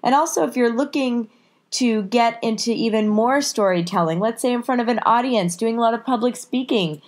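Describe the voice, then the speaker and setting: A woman's voice: a little high, moderate pace, a little mellow. Stuffy-nosed woman podcast host. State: stuffed up with a cold. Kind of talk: casual podcast intro and interview.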